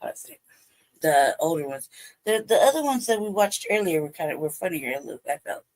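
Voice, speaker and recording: A man's voice: fairly high pitch, very animated, brisk, darkish tone. A man hosting a live stream, chatting loosely about the clips he is playing and often dropping to a near whisper. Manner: loose and rambling, drops to a near whisper at times. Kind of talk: casual commentary reacting to videos.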